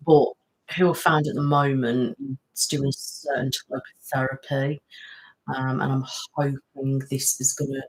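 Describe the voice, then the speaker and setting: Woman, relaxed live-chat host who rambles and laughs a lot, fits an easygoing streamer read. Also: very deep voice, a little slow, low-quality recording. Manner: chatty, rambling, laughs often, thanks viewers by name. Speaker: ASMR channel host. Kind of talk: casual live-stream chat, reading comments.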